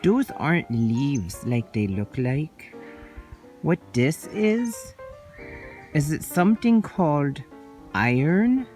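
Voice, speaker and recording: A wide-eyed young unicorn voiced by a woman drifts through a nature walk, marveling at everything. The voice sits low for a woman but swings with lively, sing-song rises and falls. The delivery is slow and soft-spoken, like a gentle tour for children. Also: faint music behind. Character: gentle young toy unicorn, curious and wonder-struck. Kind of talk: playful toy narration for children. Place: outdoors by a pond.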